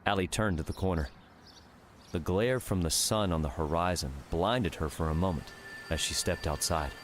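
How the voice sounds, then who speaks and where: A man's voice: low voice, normal speed, slight background noise. A man narrating a dramatic fiction audiobook from a script.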